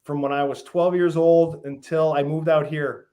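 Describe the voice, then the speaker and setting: A man's voice: fairly high pitch, moderate pace. Man from Massachusetts telling farm stories. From Western Massachusetts, USA. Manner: relaxed storytelling with many ums and lists. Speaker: guest, fish farm founder. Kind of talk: casual interview.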